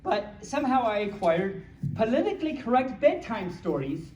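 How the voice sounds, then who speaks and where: A playful storyteller reading picture books aloud to a small audience, with childlike, cutesy phrasing and excited asides. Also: mid-range voice, normal speed. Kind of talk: playful live story time with ad-libbed asides. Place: small room at a convention. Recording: echoey room from far off, faint noise.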